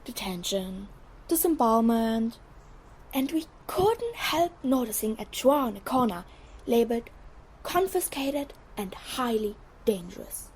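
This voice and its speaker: A woman's voice: fairly high pitch, animated, a little slow, a little mellow, slightly rough, poor audio. A woman playing a mischievous teenage schoolboy in an audio drama, telling things in a playful, theatrical way. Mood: mock-innocent, boastful.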